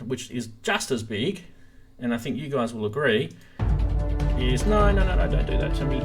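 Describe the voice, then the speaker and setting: A man hosting a casual live stream about gear, talking informally and enthusiastically straight to his viewers. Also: mid-range voice.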